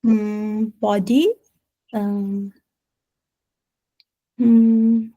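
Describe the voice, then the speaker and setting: A woman's voice: medium pitch, little pitch movement, drawn-out words, mellow, dry recording, low-quality recording. A woman with a Sri Lankan accent, a teacher chatting as co-host of an informal English lesson.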